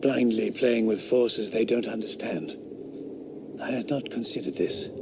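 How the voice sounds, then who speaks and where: An actor playing a haughty, sceptical 18th-century philosopher who talks with scorn and drops into fearful whispers, in a radio drama. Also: male voice, mid-range voice, unhurried, background noise, natural voice.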